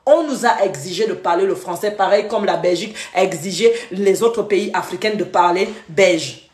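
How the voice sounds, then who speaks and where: A woman's voice: fairly low pitch, normal speed, a little mellow. Live-stream host, woman from Gabon; heated scolding rant, echoey room sound. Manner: scolding, repetitive, switches to English briefly.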